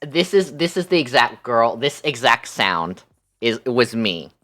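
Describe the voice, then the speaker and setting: Casting: woman streamer, very deep voice, animated slangy storyteller, faint music underneath. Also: measured pace. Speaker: livestream host. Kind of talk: casual livestream storytelling.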